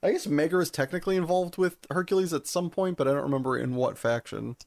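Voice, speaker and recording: A man's voice: on the high side, fast, creaky, darkish tone, no room sound. A man co-hosting a podcast in casual banter, informal and wry with the odd swear word. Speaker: podcast co-host.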